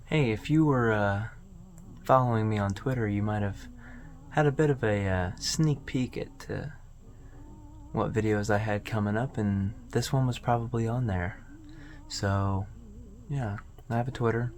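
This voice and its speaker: A man with a fairly low voice hosts an informal video commentary. He talks casually and haltingly, rambling as he works through his thoughts. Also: a little mellow. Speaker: video host.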